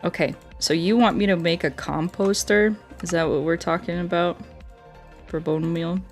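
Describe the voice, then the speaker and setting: Woman game streamer, kinda low voice, goofy n scatterbrained chatting to chat, bit of music in the back. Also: steady tone, moderate pace. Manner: playful, scatterbrained, repeats words and sing-songs nonsense. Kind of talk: casual live game commentary, chatting with viewers.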